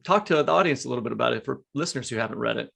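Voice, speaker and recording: A man's voice: mid-range voice, lively intonation, rapid-fire, vocal fry, fairly bright tone, slightly rough. A man, co-hosting a podcast and putting questions to a guest in a conversational way. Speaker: podcast co-host. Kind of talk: conversational interviewing.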